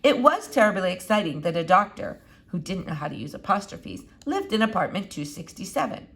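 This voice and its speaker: Lively woman reading a storybook aloud. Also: on the low side, brisk, vocal fry. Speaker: reader of a children's novel. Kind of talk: expressive storybook read-aloud.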